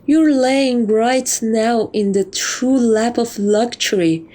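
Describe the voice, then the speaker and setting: Slow, coaxing catgirl temptress, acted by a woman. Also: a little high. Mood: smug, wistful. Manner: soft, coaxing, teasing, doting. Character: seductive, possessive catgirl thief doting on her captive. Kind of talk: romantic ASMR roleplay.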